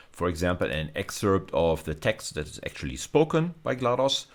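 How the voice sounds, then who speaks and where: A man giving an explanatory technical lecture as a university teacher, working step by step with hesitant repetitions. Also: very deep voice.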